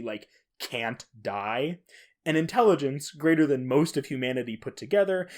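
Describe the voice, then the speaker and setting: A man hosting a podcast alone, explaining things in a casual, informal, conversational way. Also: medium pitch. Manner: informal, conversational, with occasional swearing. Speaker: podcast host. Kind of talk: casual solo explainer on horror fiction.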